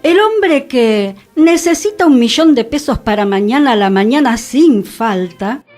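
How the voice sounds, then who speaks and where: A woman's voice: a little high, expressive, unhurried, darkish tone. A woman with a Buenos Aires accent presenting a radio show and reading literature aloud expressively, over a music bed. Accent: Argentine, Buenos Aires.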